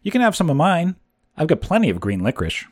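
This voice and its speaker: Man doing an eager, kindly young boy from a storybook, voice pitched up. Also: huge pitch swings, quick pace, a little mellow, breathy edge, no echo, studio quality, full character voice.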